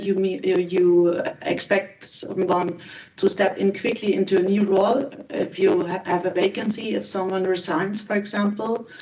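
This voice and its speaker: A woman's voice: medium pitch, darkish tone, slight background noise. German-accented woman on a distant call. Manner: slightly halting non-native phrasing. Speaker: executive recruiter. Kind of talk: webinar company presentation. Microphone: video call. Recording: distant dull video call audio.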